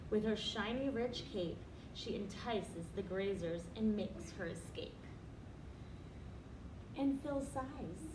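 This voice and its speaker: Expressive woman storyteller in an echoey room. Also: mid-range voice, slow pace. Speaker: storyteller reading aloud to children. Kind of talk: expressive rhyming picture-book reading with asides. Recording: roomy, distant, noisy recording.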